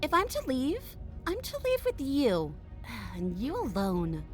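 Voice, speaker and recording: A woman's voice: high-pitched, wildly swooping pitch, slow, soft background music, heavily acted. A woman playing a proud, bitter, menacing captive princess in a video game, sarcastic and taunting. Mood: cold bargaining. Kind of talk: video game character acting.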